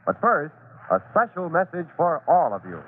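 Old radio announcer guy narrating, very animated, thin noisy recording. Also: on the high side, moderate pace, phone-quality sound.

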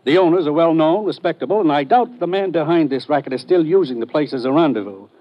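An actor playing a brisk, authoritative commissioner in a 1950s radio drama, giving orders in a crisp, official way. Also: male voice, a little high, lightly acted. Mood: grave, matter-of-fact. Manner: crisp, official, matter-of-fact. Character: brisk authoritative male commissioner handing out assignments. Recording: dull old radio recording.

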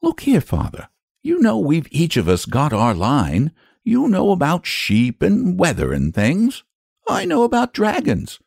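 A bookish country boy from a storybook, played by a grown man. He speaks high with very lively swings of pitch, yet stays unruffled, self-assured and slightly condescending. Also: measured pace, creaky, slightly rough, no room sound, studio quality, heavily acted. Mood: calmly confident. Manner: unruffled, matter-of-fact, gently condescending.

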